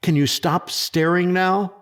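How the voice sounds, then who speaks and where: A man's voice: high-pitched, steady tone, slow pace, a bit bright, smooth. A man playing a defensive, sarcastic presidential secretary in a sci-fi audio drama, arguing sceptically. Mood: defensive, irritated. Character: combative, skeptical presidential secretary guarding his turf.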